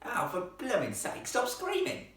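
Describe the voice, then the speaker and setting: A man voicing a cheeky, impatient working-class ghost boy with a rough Cockney accent, in a storybook reading. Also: very high voice, wildly swooping pitch, unhurried, fairly bright tone, pitched above the performer's own voice, full character voice. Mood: annoyed. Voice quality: rough-edged, uncouth speech. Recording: echoey room, distant microphone.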